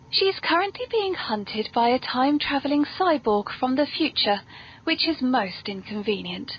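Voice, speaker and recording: A British woman hosting a whimsical scripted comedy podcast in a genteel, very polite, playful manner. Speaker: podcast host. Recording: muffled, noisy low-quality podcast audio.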